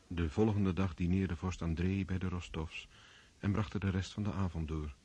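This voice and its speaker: A man narrating a period radio play in a measured, serious tone. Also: deep voice, steady tone, mellow, slightly rough. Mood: solemn. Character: grave male storyteller of a period drama.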